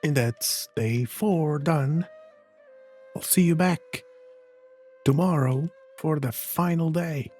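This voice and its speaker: A gaming streamer with a soft, husky voice, giving casual let's play commentary. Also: mid-range voice, slow pace, pauses often. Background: game music.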